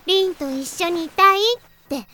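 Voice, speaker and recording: A woman voicing a teenage girl in an anime audio drama, playfully teasing and softly pouting, with a lonely side. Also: very high voice, normal speed. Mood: teasing, wistful.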